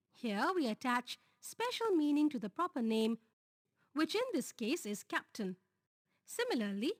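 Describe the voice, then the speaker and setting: A woman with an Indian English accent reads a grammar lesson aloud, moving deliberately through lists and examples. Her voice sits high and swings widely in pitch, and her pace stays unhurried. The recording is close and dry. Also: no room sound. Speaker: teacher.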